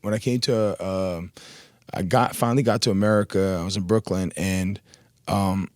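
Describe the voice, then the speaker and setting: A man whose first language is Arabic, a rapper guest telling stories in a relaxed, rambling way with slang, on a studio mic in a radio studio. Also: low-pitched, moderate pace, no echo. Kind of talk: casual interview chat.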